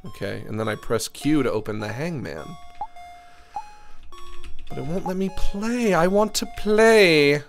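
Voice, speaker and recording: A man streams a video game with casual commentary, reading on-screen text aloud. His pitch swings in a lively way, playfully whiny at times, with faint music behind him. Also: measured pace, pauses often.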